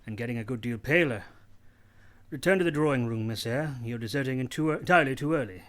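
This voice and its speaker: An actor playing a commanding, brooding gentleman past forty in a radio play, with an imperious, brusque manner. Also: medium pitch, fast, close to an everyday voice.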